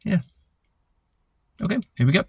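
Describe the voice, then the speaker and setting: Man talking himself calm before singing. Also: normal speed, no echo, highs cut off. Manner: nervous but self-calming, talking himself down. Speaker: singer-songwriter vlogger. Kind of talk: casual pre-session chat.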